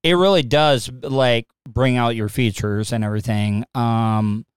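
A man's voice: medium pitch, steady tone, unhurried, clean tone, dry recording. Guy hosting a stream, trips over his words a lot, super clean dry mic sound. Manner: stammering, repeats words, laughs easily. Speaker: livestream host. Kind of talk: casual chat.

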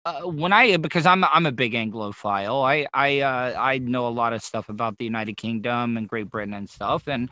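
A man's voice: mid-range voice, normal speed, hardly any pauses, sharp tone, dry recording. A man with a Southern American accent hosting a casual interview, chatty and self-deprecating, with frequent restarts. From Southern United States. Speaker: podcast host.

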